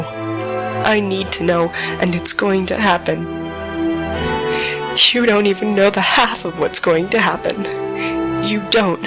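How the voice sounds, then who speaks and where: A woman playing a frightened but defiant starship captain in an audio drama, speaking in a halting, emotional monologue. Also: mid-range voice. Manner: halting, emotional, addressing an unseen foe. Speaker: voice actor in an audio drama podcast. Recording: music behind the voice.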